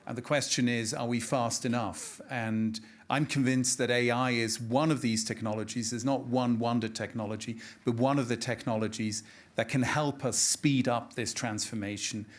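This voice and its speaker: A man's voice: medium pitch, fairly even intonation, moderate pace. A middle-aged man, a nonprofit chief executive, introducing his views on a panel. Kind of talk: spoken self-introduction.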